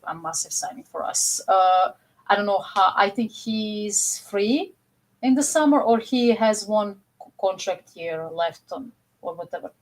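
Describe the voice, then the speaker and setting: A woman's voice: fairly low pitch, low-quality recording. A young woman with a Croatian accent co-hosting a casual football chat on a video call. She talks animatedly and fills her sentences with "you know". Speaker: podcast co-host.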